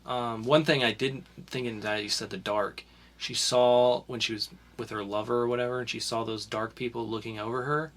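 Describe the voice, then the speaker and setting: Bright-voiced young man rambling casually. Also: mid-range voice, fairly even intonation, moderate pace. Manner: rambling, with many fillers and restarts. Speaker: podcast co-host reviewing comics. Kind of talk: casual chat.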